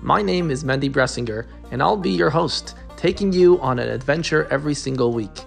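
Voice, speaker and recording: A man's voice: a little high, a little fast, gravelly, soft background music. A man hosting a podcast, asking questions in an upbeat, encouraging way. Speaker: podcast host. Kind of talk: podcast interview.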